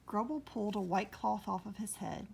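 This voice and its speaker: A woman's voice: medium pitch, normal speed, vocal fry, slightly rough. A woman narrating a children's story expressively, recorded with noise behind her. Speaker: storybook reader.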